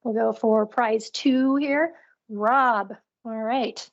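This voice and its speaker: The recording is a slightly dull, lo-fi online call. A woman with a highish voice co-hosts and presents a live demo. She talks casually, in an upbeat and chatty manner. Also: steady tone, takes it slowly, gravelly.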